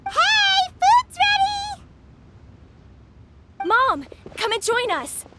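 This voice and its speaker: A woman voicing an excitable, cheerful young child in a video game, calling out eagerly. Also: very high-pitched, extremely slow, a little hiss or hum, heavily acted. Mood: eager, excited. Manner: exclaiming, eager. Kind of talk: animated game voice acting.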